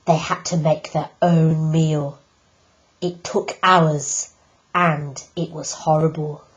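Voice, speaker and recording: A woman teacher reading a picture book aloud, slow and deliberate with emphatic pauses. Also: low voice, flat delivery, highs cut off. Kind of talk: storybook read-aloud.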